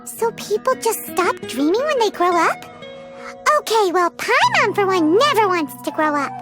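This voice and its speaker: A woman voicing a tiny, childlike, excitable fairy companion in a video game, bubbly and given to exclaiming. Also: extremely high pitch, moderate pace, over music. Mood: musing. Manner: refers to itself in third person, exclaiming.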